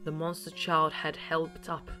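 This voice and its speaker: Low-pitched woman narrating over music. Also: completely flat delivery, unhurried, bright, forceful tone. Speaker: narrator and series co-creator. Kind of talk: scripted narration.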